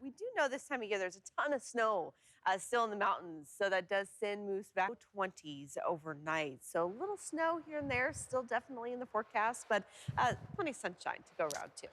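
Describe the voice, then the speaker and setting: A woman's voice: mid-range voice, expressive, fairly quick, hardly any pauses, a bit bright, dry recording, rough recording. A woman presenting on television news, explaining things in a conversational, unscripted way. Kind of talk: unscripted weather talk and newscast banter.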